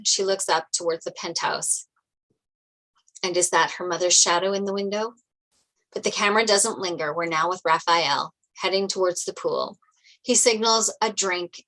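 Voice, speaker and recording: A woman reading script narration and stage directions aloud at a table read in an animated storytelling way. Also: on the low side, rough recording.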